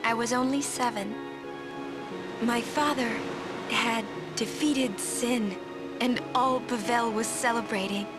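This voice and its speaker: An actress playing a gentle, resolute young summoner woman in a video game, speaking in measured, earnest, halting phrases. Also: fairly high pitch, steady tone. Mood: earnest, grateful. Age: young adult. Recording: game dialogue under music.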